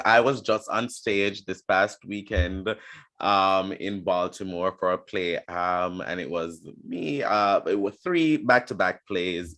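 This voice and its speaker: A man's voice: low voice, a bit bright. A man hosting a casual, conversational interview, affirming his guests and often repeating words for emphasis. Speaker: interviewer and host.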